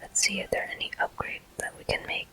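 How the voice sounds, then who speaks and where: A person whispering ASMR game commentary in a deliberate manner, pausing between short phrases. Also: bright, forceful tone. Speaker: gameplay host.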